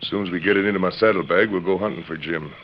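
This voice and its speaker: A man as a deep-voiced rough western outlaw. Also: very fast, lightly acted. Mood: scheming. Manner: rough, colloquial. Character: menacing western outlaw henchman. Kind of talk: old-time western radio acting. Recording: dull, noisy vintage radio recording.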